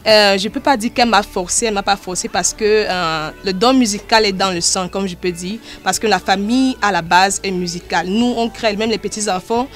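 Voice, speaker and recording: A woman's voice: mid-range voice, fairly quick, hardly any pauses, fairly bright tone, soft background music. A woman with a Cameroonian accent speaking as an interviewed gospel artist, in an earnest, devout manner. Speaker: gospel artist and choir director being interviewed. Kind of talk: interview talk about life and music.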